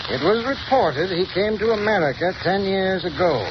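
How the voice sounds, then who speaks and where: A man playing a cautious, learned professor speaking in a measured way in a 1930s radio drama. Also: high voice, expressive, muffled recording. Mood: guarded. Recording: scratchy 1930s radio broadcast recording.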